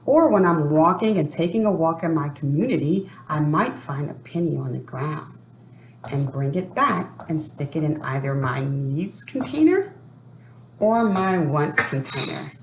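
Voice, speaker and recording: A woman speaking deliberately and expressively as an educator doing a children's read-aloud for families. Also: low voice, expressive. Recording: echoey room with faint background noise.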